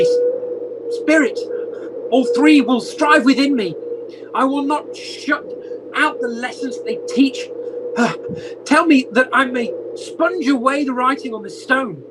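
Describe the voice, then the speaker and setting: An actor playing an emotional, repentant old male miser in an amateur radio play, with theatrical, halting exclamations. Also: sits high, expressive, background noise. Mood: desperate pleading. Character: repentant old male miser, desperate then giddily joyful. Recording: home video-call audio.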